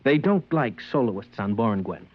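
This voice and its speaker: A man's voice: on the low side, normal speed. A man playing a stern, dogmatic customs agent in an old-time radio drama. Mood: curt. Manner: flat, dogmatic, deadly serious. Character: stern, doctrinaire male customs agent of a collectivist regime. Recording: muffled old radio recording.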